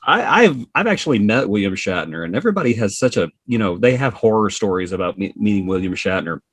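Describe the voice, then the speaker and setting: A man tells anecdotes casually as an interview guest. His voice is husky and very animated in pitch, recorded dry and close. He slips into acting out what people say. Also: fast talker, no room sound, soft background music. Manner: animated, acts out dialogue. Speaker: podcast guest, social media creator.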